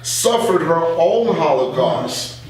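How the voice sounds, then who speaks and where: A man's voice: a little high, expressive, crowd noise. Echoey, distant middle-aged man in interview. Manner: earnest, halting delivery with repeated phrases. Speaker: radio journalist interview guest. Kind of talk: conversational political interview. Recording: very echoey room, far from the mic.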